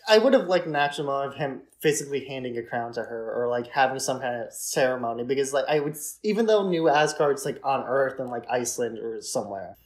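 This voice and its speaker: A man's voice: medium pitch, animated, fast, few pauses. A man co-hosting a podcast, talking in a casual, conversational and hedging way about films. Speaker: podcast co-host. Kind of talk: casual chat, film discussion.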